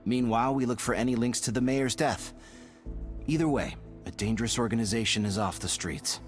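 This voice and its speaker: A man's voice: medium pitch, brisk, close to an everyday voice. A man playing a dry, wisecracking intelligence officer of a tactical squad in a video game. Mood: serious. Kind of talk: scripted game dialogue. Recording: music behind the voice.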